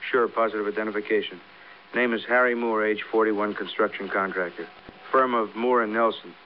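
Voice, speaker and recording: An actor playing a matter-of-fact police detective with an American accent, giving clipped, businesslike reports in a 1950s radio drama. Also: male voice, on the low side, fairly even intonation, fairly quick, natural voice. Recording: muffled vintage radio, faint noise.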